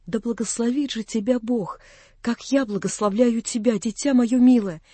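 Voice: mid-range voice, steady tone, normal speed, dark tone, slightly rough, no echo, close to an everyday voice. A narrator voicing a tender, anguished old father in an audiobook, imploring and fervent. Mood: solemn blessing.

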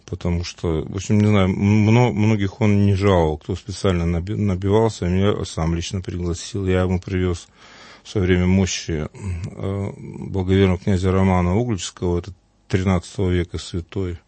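A man's voice: sits low, flat delivery, normal speed, mellow, no room sound. A man from Uglich, an Orthodox priest, telling earnest, rambling anecdotes in conversation. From Uglich, Russia. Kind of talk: conversational storytelling.

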